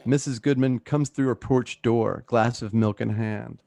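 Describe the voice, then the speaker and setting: A man's voice: medium pitch, fairly even intonation, a little slow, gravelly, warm tone, slight background noise. A man reading stage directions aloud as the narrator of a radio play. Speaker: host and narrator.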